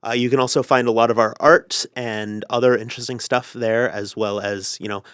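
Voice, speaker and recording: A man speaking as a podcast guest, giving casual, somewhat halting announcements and chat. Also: mid-range voice, steady tone, fairly bright tone, smooth, no room sound, studio quality. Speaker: interview guest.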